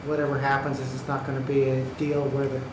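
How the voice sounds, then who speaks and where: A man's voice: mid-range voice, monotone, fast talker. A man giving public comment at a county meeting, pressing his points emphatically and checking in with "okay" and "right". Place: county government meeting room. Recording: echoey hall with background noise.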